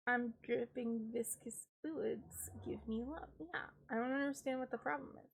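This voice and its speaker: Congested young woman streamer, higher voice. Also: moderate pace, gravelly, slight background noise. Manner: chatty, self-deprecating, giggly asides. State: congested, stuffed-up voice. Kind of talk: casual chat.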